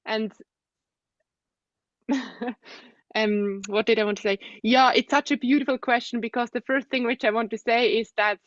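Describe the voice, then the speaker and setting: A young adult woman with a Swiss accent, a guest speaking thoughtfully in an interview, with soft laughs and hums of agreement. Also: on the high side, steady tone, normal speed, long pauses, no room sound, dull audio. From Switzerland. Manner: thoughtful, soft-spoken, frequent gentle laughs and hums of assent. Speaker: guest, osteopath. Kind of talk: reflective interview conversation.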